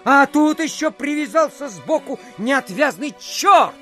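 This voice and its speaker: An actor playing a chatty provincial official who spins a folksy, rambling tale in an audio play, full of filler phrases. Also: male voice, extremely high pitch, animated, unhurried, fairly bright tone, soft background music, full character voice. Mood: mock-grave, indignant. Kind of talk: theatrical storytelling in an audio play.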